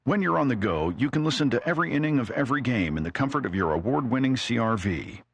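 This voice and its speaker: A man's voice: mid-range voice, animated, quick pace, vocal fry, a little hiss or hum. A man reading a scripted radio car commercial with a wry, playful delivery. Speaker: commercial announcer.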